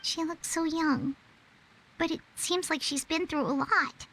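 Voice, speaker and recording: Squeaky, excitable little fairy sidekick. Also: female voice, unhurried, a little hiss or hum, poor audio. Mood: puzzled, concerned. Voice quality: squeaky, childlike. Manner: exclamatory, refers to self in third person. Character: small excitable childlike floating fairy companion, anxious and chatty. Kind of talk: video game voice acting.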